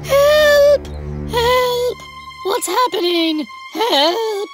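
A woman's voice: extremely high pitch, animated, extremely slow, faint music behind, full character voice. A woman voicing a greedy, gluttonous giant male pig in a cartoon story, whiny and possessive. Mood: panicked.

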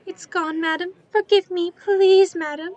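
A woman playing a timid eight-year-old servant girl in a radio drama, polite, halting and deferential. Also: extremely high pitch, fairly even intonation, darkish tone, dry recording, muffled recording. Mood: frightened, pleading.

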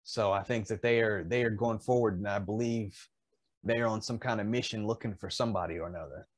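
A man's voice: on the low side, a little fast, no echo. Middle-aged male podcaster, very dry sound. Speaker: podcast co-host. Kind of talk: casual podcast chat. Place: indoors in a home collectibles room.

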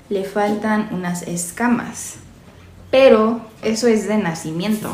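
A woman's voice: medium pitch, slight background noise. A young Mexican woman with a Mexican Spanish accent, chatting casually and giggling as she shows her reptiles in a small bare room, recorded on a camera mic at medium distance. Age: young adult. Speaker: reptile keeper vlogger. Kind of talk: casual unboxing vlog. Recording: echoey room with loud ambience.

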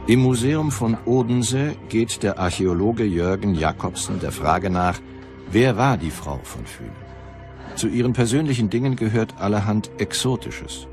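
A male documentary narrator with a very low voice. He reads scripted lines in a measured, deliberate way while music plays clearly behind him. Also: lively intonation.

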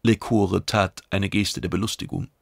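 A man narrates a science fiction audiobook from a script. His voice sits fairly low with plenty of gravelly fry, and the recording is close and dry. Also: steady tone, normal speed, no echo.

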